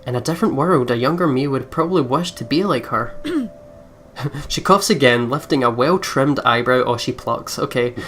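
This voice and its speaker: A woman's voice: rumbling low, lively intonation, moderate pace. A woman hosting a let's play, casually commenting and reading the game text aloud in a chatty way.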